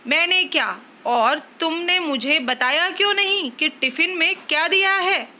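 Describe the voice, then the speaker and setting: A woman voicing an irritable young husband who scolds his wife loudly in a storybook reading. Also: high voice, a little slow. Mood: scolding. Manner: harsh, complaining, loud.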